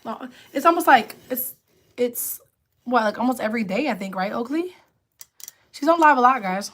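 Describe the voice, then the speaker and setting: Raspy-voiced woman chatting on a livestream. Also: expressive, normal speed, fairly bright tone. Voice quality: lower alto voice. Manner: chatty, reads and answers comments aloud. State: raspy voice from illness. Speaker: livestream host. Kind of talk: casual livestream chat with viewers.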